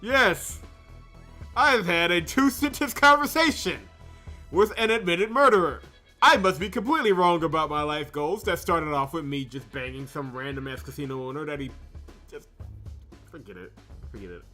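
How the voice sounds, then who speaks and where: A man's voice: fairly high pitch, animated, moderate pace, pushed and bright. A man doing sarcastic, exasperated game commentary, ranting with swear words, with music behind him. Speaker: visual novel playthrough host. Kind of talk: game commentary and reading dialogue aloud.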